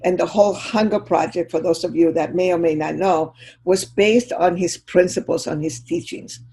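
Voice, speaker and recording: A woman's voice: fairly low pitch, steady tone, normal speed, mellow, slight background noise. An older woman from Chile, a guest speaker giving a heartfelt, reflective talk on a video call.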